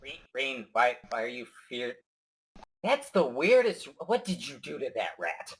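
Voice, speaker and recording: A man playing a tabletop roleplaying game, talking in character with excitable stammering. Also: unhurried, slightly rough, rough recording. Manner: excitable, stammering, jumpy pitch. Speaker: player.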